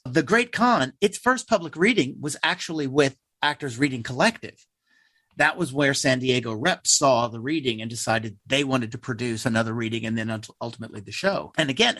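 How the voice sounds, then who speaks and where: A man's voice: medium pitch, fairly bright tone, no room sound. A man speaking conversationally as a theater guest in an interview, telling things like a storyteller.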